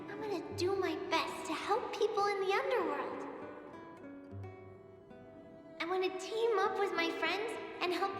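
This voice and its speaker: A woman voicing a sickly little girl who writes to her hero, earnest and wistful, sighing and laughing softly. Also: extremely high pitch. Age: child figure. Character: sickly hopeful little girl fan, dreamy. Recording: echoey reverb with music behind.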